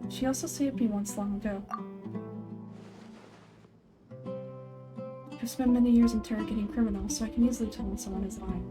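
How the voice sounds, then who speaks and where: A woman's voice: medium pitch, steady tone, over music, natural voice. Woman as a stern, formal male investigator. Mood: grave, astonished. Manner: formal, deliberate. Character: stern, measured male investigator, former leader in exile.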